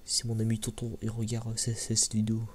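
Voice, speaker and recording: A man gives a casual, rambling ASMR-style commentary in a soft, hushed, hesitant voice, often repeating words. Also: on the low side, monotone, brisk. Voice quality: soft, hushed voice, sometimes near a whisper. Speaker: host of an anime review video. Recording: clearly audible background noise.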